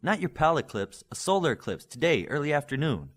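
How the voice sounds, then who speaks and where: A man's voice: on the high side, sing-song, brisk, pitched above the performer's own voice. A man voicing a brainy, needling young female superhero student in an audiobook. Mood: teasing.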